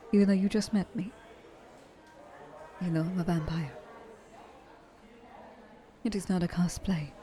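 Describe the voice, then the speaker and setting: Woman acting a mellow, genteel vampire lady, faint ambience. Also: fairly low pitch, slight background noise. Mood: earnest, teasing. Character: thousand-year-old wealthy female vampire, haughty yet courteous. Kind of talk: ASMR roleplay, scripted monologue to the listener.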